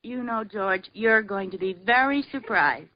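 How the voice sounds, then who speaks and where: An actress playing a scatterbrained, excitable young wife in a radio comedy, talking in a giddy, flustered way and laughing easily. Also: female voice, medium pitch, measured pace, lo-fi sound, natural voice. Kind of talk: 1940s radio comedy acting. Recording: hissy old radio broadcast recording.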